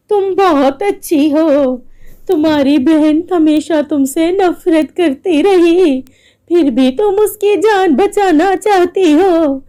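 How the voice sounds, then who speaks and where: Woman playing a kindly old village woman, slow. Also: very high-pitched, dark tone, voice pushed up. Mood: kind, resolute.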